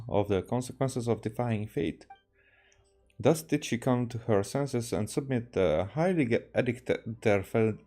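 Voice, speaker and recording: A man voicing a grandiose, theatrical teenage girl from a game, reading her florid lines haltingly. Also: on the low side, dark tone. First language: Hungarian. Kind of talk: reading game dialogue aloud.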